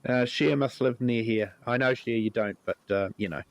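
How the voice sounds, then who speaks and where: A man with a New Zealand accent hosting a live gameplay stream, chatting with viewers in a relaxed way, his voice a little croaky from a cold. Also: medium pitch, normal speed, no room sound. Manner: relaxed, chatty, greets viewers by name, many ums. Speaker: livestream host.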